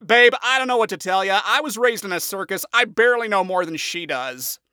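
Casting: loud slangy imp guy, as a man puts him on, high and lively. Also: brisk, smooth, no echo, studio quality. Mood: casual. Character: brash loud male imp, crude and carefree.

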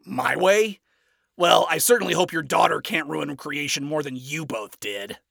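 Man voicing a brash, scornful man, raised pitch. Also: animated, measured pace, vocal fry, bright, forceful tone, dry recording, clean studio sound, exaggerated voice. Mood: scathing, sarcastic. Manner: blunt, biting, sarcastic. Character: brash, scornful, foul-mouthed man ranting at his enemy.

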